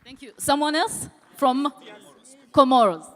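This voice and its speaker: A woman's voice: high-pitched, lively intonation, measured pace, fairly bright tone. A woman hosting a live ceremony, speaking off the cuff to introduce speakers. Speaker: event host. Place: large indoor conference hall. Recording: echoey hall, long reverb.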